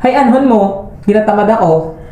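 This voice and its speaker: A woman's voice: medium pitch, expressive, normal speed, pitched above the performer's own voice, close to an everyday voice. A woman playing a lazy, domineering boyfriend in an amateur radio drama, snappish and dismissive, with a Western Visayan Filipino accent. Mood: sullen. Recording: roomy echo, loud backing music.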